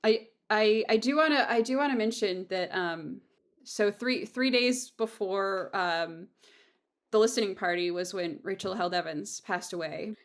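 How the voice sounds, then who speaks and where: A woman talking casually and reflectively, earnest and effusive, often circling back and repeating herself as she goes. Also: medium pitch. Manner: earnest, effusive, halting with self-repetition. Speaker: audio drama creator and host.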